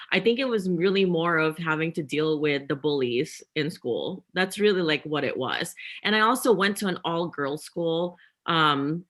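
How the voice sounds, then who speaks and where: A woman's voice: deep voice, a bit bright. A woman from the Philippines, answering interview questions at length with many fillers. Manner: thoughtful, many fillers and restarts. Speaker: guest author.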